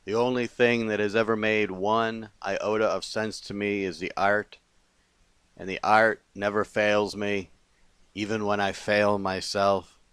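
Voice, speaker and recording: Slow, low-voiced man reading poetry. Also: a bit bright, clean tone, no echo. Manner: deliberate phrasing with pauses between short lines. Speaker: poet. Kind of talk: poetry reading of own confessional verse.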